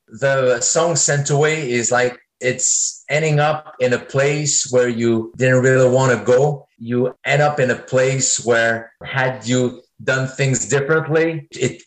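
A man's voice: medium pitch, rough recording. A man in his forties, a native French speaker with a French-speaking North American accent, answering as a singer-songwriter guest in a casual, rambling way full of "I mean.". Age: 40s. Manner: rambling, leans on filler "I mean".

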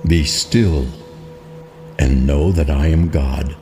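A man's voice: rumbling low, fairly even intonation, slow, darkish tone. A man giving a meditative reading of scripture. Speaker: reader of scripture. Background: music.

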